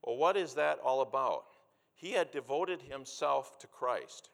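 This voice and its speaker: A middle-aged or older man preaching a sermon with personal storytelling, speaking in a deliberate, earnest way and repeating key phrases for emphasis. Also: medium pitch, vocal fry, fairly bright tone. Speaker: preacher, former missionary. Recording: long hall reverb.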